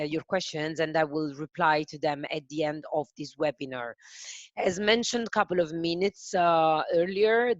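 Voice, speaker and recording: A woman with an Italian accent hosting a software demo webinar, speaking carefully and haltingly with frequent fillers. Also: low voice, no room sound, lo-fi sound. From Italy. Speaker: webinar host.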